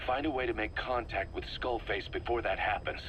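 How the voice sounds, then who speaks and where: An actor playing a stern, gruff military second-in-command, giving a grave and urgent mission briefing to his commander in a video game. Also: fairly low pitch, normal speed, a bit bright, a little hiss or hum, natural voice. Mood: grim resolve.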